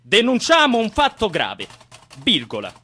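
A man's voice: sits high, huge pitch swings, measured pace, pushed and bright, faint music behind, heavily acted. A man acting an exasperated, bossy left-wing activist with a Roman accent in a comic radio play. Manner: bossy, argumentative.

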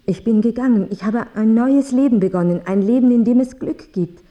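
A woman's voice: on the high side, brisk, highs cut off, lightly acted. An actress playing an anxious, remorseful mother in a radio drama, speaking hesitantly. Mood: confessional, earnest. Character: anxious, remorseful mother who left her son long ago.

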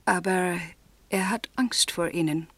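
A woman playing a discreet, soft-spoken English miss acting as go-between in a 1970s German radio play, with hushed, halting phrasing. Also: fairly low pitch, dry recording. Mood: cautious. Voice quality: breathy, hushed.

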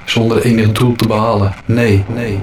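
A man delivering a slow, dramatic spoken-word monologue in brooding, terse phrases, as a podcast narrator. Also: on the low side, little pitch movement, background noise.

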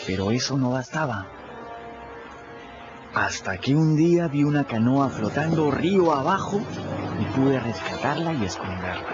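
An actor voicing a plucky, sly runaway boy in a radio drama, telling his story in the first person in a lively way. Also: mid-range voice, a little slow, close to an everyday voice. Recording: dull lo-fi radio recording. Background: clear music bed.

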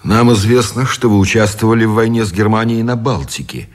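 An actor playing a revolutionary interrogator in a radio play, with a gravelly, husky voice and a deliberate, official manner. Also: male voice, on the low side, natural voice. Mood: businesslike, official. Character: stern, courteous revolutionary interrogator of a prisoner.

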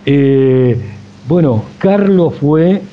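An Argentine man interviewing on radio in a reflective, deliberate manner, with formal, wordy phrasing. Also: a little high, lively intonation. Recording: dull radio audio, slight noise.

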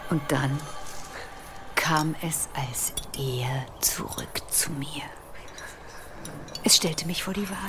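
An actress playing an ancient, knowing seer woman in an audio drama, speaking in slow, portentous archaic phrases. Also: deep voice, background noise. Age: older. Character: ancient female seer, ominous, knowing, mocking old crow.

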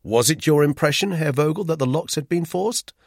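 Guy playing a stern formal gentleman, measured, a bit raised in pitch, audiobook dialogue. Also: vocal fry, no room sound, clean studio sound. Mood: severe. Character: formal, severe first-person hero, smooth-talking peacemaker.

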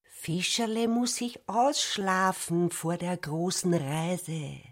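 An actress narrating a darkly comic tavern tale in a literary radio play. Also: female voice, lowish voice, steady tone, slow. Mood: unhurried narration. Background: music.

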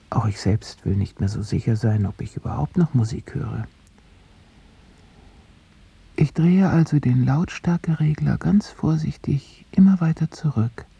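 A man narrating a radio drama in the first person, in a hushed voice that often drops into a whisper. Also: mid-range voice, dull audio. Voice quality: gravelly, raspy voice. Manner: hushed, partly whispered, careful.

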